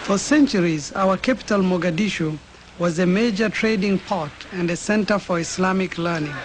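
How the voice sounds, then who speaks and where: A man narrating a documentary in an earnest, measured scripted delivery. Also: sits high, background noise.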